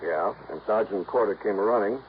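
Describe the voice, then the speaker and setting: Actor as low-voiced, earnest insurance man. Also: moderate pace, natural voice. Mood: grave, explanatory. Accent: American. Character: earnest, businesslike insurance man briefing a detective. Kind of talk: 1950s radio drama acting. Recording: muffled, faintly noisy old radio recording.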